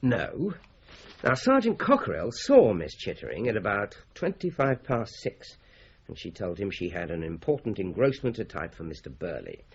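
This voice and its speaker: A man playing a shrewd, methodical British police inspector in a radio drama, brisk and authoritative. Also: lowish voice, wildly swooping pitch, breathy edge, heavily acted. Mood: thoughtful, dogged. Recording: slightly dull radio drama recording.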